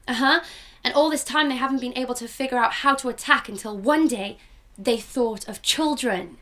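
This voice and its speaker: Woman acting a definite, excited kid, squeaky high and sharp, radio play. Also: normal speed, lightly acted. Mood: eager. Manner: eager, breathless, giggly. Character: loud excited little girl, strong, definite. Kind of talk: student radio drama.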